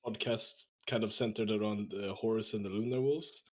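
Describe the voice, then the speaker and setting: A man's voice: lowish voice, fairly even intonation, moderate pace. Swedish-accented man in podcast chat. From Sweden. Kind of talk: casual roundtable chat.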